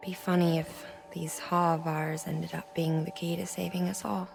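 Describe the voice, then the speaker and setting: A casual, wry engineer in a video game. Her voice is creaky and barely varies in pitch, understated and laid-back. Clear music plays behind it. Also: little pitch movement, moderate pace. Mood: wry, subdued.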